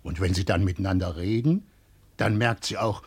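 An actor playing a loyal, kindly village family friend in a German radio play, hesitant and musing. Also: male voice, sits low, normal speed, darkish tone, breathy edge. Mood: musing, coaxing.

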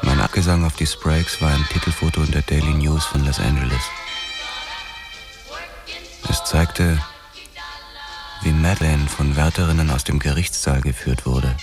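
An actor playing a world-weary, disgraced police detective, narrating his downfall in a radio drama with sober, measured resignation. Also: extremely low pitch, fairly even intonation. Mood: sober, resigned. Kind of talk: scripted first-person radio drama narration. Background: music.

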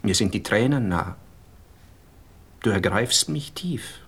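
Vain male writer and lover, Austrian accent, lowish husky voice, pompous, gushing, theatrical radio play. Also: animated, slow, fairly bright tone, heavily acted. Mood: sentimental.

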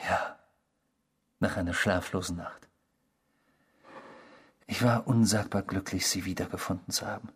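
Husky, eerie obsessive storyteller. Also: male voice, low-pitched, steady tone, unhurried, pauses often, full character voice. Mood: smug, intimate. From the Netherlands (character). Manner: theatrical, savouring, unrepentant, mimicking the people quoted. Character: eerie, obsessive male stranger confessing a past crime. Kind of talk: radio drama dialogue, first-person storytelling.

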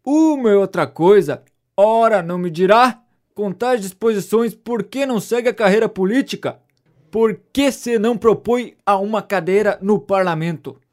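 A man plays a breezy young idler in a period comedy audio drama. His voice is notably high for a man, with a Brazilian accent and a teasing, mock-courteous manner. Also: moderate pace, darkish tone, close to an everyday voice. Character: breezy, teasing young gentleman, horse-loving idle cousin. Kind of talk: audio drama of a 19th-century stage comedy.

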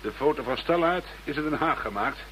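An actor playing a gruff, sardonic investigator who leads a case in a radio play. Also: male voice, a little high, lively intonation, fairly quick, a bit bright, low-quality recording. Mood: dry, brisk. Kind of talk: scripted radio play acting. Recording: faint background hum and noise.

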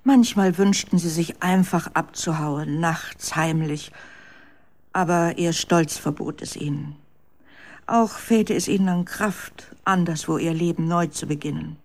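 A woman's voice: deep voice, measured pace, a little mellow. A woman tells the story as narrator of a children's radio play. Her delivery is scripted storytelling between the acted scenes.

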